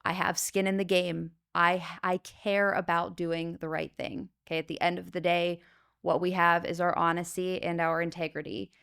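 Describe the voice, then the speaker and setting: A woman with a fairly low, smooth, resonant voice hosts her own podcast as an insurance agent. She talks straight to the listener, earnest and emphatic, and checks in with a steady stream of "okay?" and "you know". Her voice is loud and carries. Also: normal speed. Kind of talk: solo podcast talk to the viewer.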